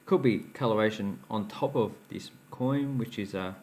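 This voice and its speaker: A man's voice: on the low side, normal speed, a little mellow, slight background noise. A man hosting a casual coin-collecting vlog, chatty and explanatory, laughing at his own slips. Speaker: coin collecting channel host. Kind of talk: casual show-and-tell vlog.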